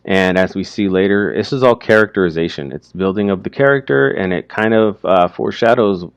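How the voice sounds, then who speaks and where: A man teaching through informal, explanatory commentary on a story, speaking haltingly as he thinks aloud. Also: low voice, smooth, dry recording.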